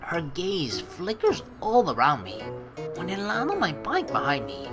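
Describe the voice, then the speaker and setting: Animated woman doing a let's play. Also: lowish voice, a little slow. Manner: casual, playful, swears. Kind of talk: gaming commentary mixed with reading game narration aloud. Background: game music.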